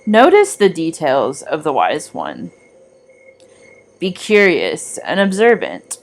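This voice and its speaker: A woman reading a scripted guided meditation in a measured, gently instructing manner. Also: sits low, huge pitch swings.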